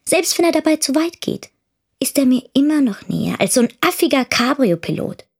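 A woman's voice: sits high, very animated, fairly quick, darkish tone. A woman playing a headstrong, mocking teenage daughter in an audio drama. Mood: disdainful, musing. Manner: mocking, dismissive. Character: disdainful, headstrong teenage daughter just out of school. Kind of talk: dramatic monologue in a German audio play.

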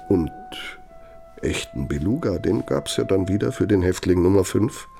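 A deep, gravelly, embittered old aide. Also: male voice, lively intonation, music in the background. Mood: sardonic, smug. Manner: deliberate, confiding, sardonic, with pointed pauses. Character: embittered loyal old aide of a Nazi architect, reminiscing reproachfully. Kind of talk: German radio play monologue.

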